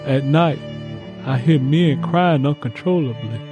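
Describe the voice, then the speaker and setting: A man's voice: mid-range voice, unhurried, music in the background, lightly acted. A man voicing a haunted eighteen-year-old soldier reading his letter home from war, sombre and plain-spoken. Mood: haunted, weary. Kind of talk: letter read in a dramatized audiobook.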